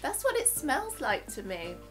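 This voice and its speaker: A woman's voice: high voice, wildly swooping pitch, a little slow, faint music behind. Slightly nasal woman vlogging. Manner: chatty, talks while applying makeup. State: nasal from recovering flu. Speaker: beauty vlogger. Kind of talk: casual product review and demo.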